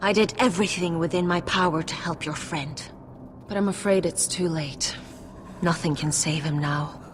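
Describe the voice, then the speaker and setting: A woman playing a calm, persuasive forest leader. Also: on the low side, normal speed, slight background noise, lightly acted. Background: music.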